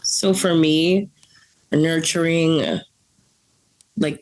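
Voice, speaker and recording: A woman's voice: low voice, gravelly, darkish tone, low-quality recording. A young woman, a guest on a podcast, talking bluntly and laughing, swearing freely. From Salvadoran family, grew up in the Bronx. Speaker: podcast guest, coffee professional. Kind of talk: casual interview chat.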